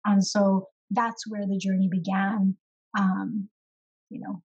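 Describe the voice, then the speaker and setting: Middle-aged woman from Pakistan, therapist guest, husky voice, muffled call audio, thoughtful with lots of ums. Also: medium pitch, flat delivery. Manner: thoughtful, many ums and soft pauses. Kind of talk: casual interview conversation.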